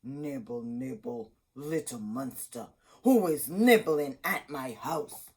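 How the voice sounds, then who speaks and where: A woman voicing a wicked old witch in a children's fairy tale, sly and greedy with a drawn-out, menacing delivery. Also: rumbling low, expressive, darkish tone, husky, heavily acted. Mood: sly menace. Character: wicked old witch, sweetly coaxing then greedy and scolding.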